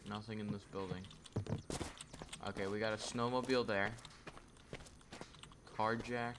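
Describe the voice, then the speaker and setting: A man giving live commentary over his own gameplay, talking to himself and shouting at enemies as things happen. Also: fairly low pitch, a little slow, background noise. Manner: excitable, talks to himself and at enemies. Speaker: gaming YouTuber.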